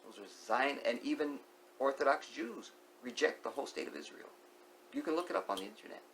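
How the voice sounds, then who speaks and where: A man with a gravelly, creaky voice talks straight to his viewers in an opinionated monologue. He hammers his points by repeating phrases and asking rhetorical questions. Now and then he drops to a hushed whisper over a faint hiss. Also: medium pitch, sing-song, fairly quick. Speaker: vlogger.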